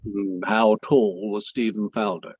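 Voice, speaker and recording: A man playing a courteous, deliberate barrister who pauses as he cross-examines, in a table read of an inquiry play. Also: on the low side, fairly even intonation, a little mellow, slightly rough, no room sound. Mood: politely probing. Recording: dull, dry, lo-fi microphone.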